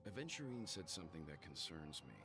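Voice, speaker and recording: Very deep, grave, methodical man. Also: very animated, fast. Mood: grave, suspicious. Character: serious, reasoning man, suspicious and methodical investigator. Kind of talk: video game story dialogue. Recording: game music playing under the voice.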